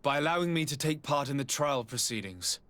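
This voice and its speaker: An actor playing a principled nobleman in a game, earnest, formal and measured. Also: medium pitch, animated, fairly bright tone, dry recording, close to an everyday voice. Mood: grave. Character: grave, principled nobleman reporting atrocities.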